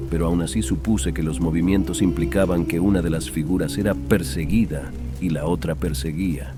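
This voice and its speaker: A man with a low, deep voice narrates a horror story in a dramatic, scripted audiobook style. Music plays clearly behind him. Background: background music.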